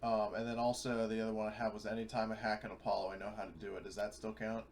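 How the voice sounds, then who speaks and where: A man's voice: lowish voice, level tone, fast talker. A man playing a tabletop game, chatting casually with the group about his moves and rules.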